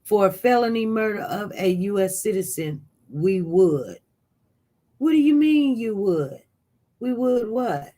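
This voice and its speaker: Live-stream news host, casual commentary, reads chat aloud, animated, leaps up high and loud, rambling restarts. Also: a little slow. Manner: animated, voice jumps up loud when reacting to chat. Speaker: livestream news host reading viewer chat.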